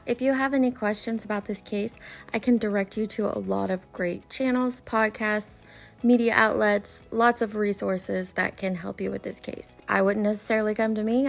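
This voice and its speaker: A woman's voice: medium pitch, steady tone, moderate pace, vocal fry. A woman giving casual reaction commentary in a blunt, corrective way. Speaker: commentator reacting to another creator's video.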